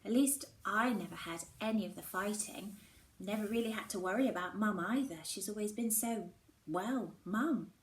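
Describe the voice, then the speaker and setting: A woman teacher reading a children's novel aloud in an expressive storytelling style. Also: medium pitch, moderate pace. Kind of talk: expressive storybook read-aloud with acted dialogue. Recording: roomy echo with faint background noise.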